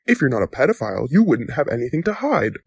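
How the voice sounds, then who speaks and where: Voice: medium pitch, big pitch swings, fast talker, gravelly, darkish tone, slightly rough, no echo, heavily acted. An actor as a smug, wildly swooping talk-show bully. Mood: accusing. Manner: mocking, theatrical, taunting. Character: smug gaslighting talk-show host, a bullying intrusive thought personified. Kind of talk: exaggerated TV talk-show host patter.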